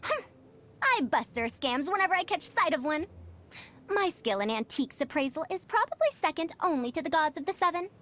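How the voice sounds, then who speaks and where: A woman's voice: high-pitched, sing-song, a little fast, a bit bright. A woman voicing a proud, fussy century-old scholar with a youthful girlish voice, pompous and lecturing, for a video game. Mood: scornful, boastful. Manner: pompous, lecturing, old-fashioned elder phrasing. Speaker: game character voice. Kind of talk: animated video game voice lines.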